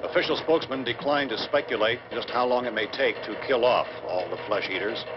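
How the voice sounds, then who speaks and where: An actor playing a grave American TV newscaster reading formal bulletins in an old horror film. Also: male voice, medium pitch, a little fast, heavy background noise, natural voice. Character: grave male TV newscaster reporting a ghoul crisis. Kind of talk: formal scripted 1960s news reading. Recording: dull old film soundtrack, music behind.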